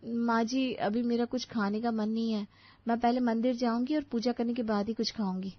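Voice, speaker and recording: A woman's voice: a little high, fairly even intonation, normal speed, no echo, natural voice. A timid young bride from a storybook, acted by a woman narrator, polite and a little wistful. The sound is very dry and slightly muffled.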